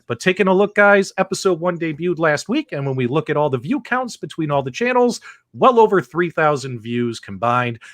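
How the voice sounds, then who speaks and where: Lively, upbeat male podcast host. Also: fairly high pitch, no echo, clean studio sound. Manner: energetic, upbeat, hypes the audience. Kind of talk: live podcast hosting.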